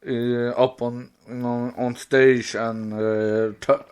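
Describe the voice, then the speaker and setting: A man's voice: mid-range voice, level tone, slow pace, a little mellow, clean tone. A man with a Swedish accent chatting casually as a podcast co-host, searching for words in non-native English. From Sweden. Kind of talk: casual chat.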